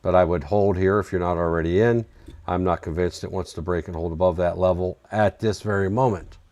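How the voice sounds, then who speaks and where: A man's voice: low voice, steady tone, brisk, darkish tone, no echo. A man hosting a live trading stream, chatting casually and answering viewer questions while he reads charts, his voice getting worn out. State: losing his voice. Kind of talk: casual live market commentary.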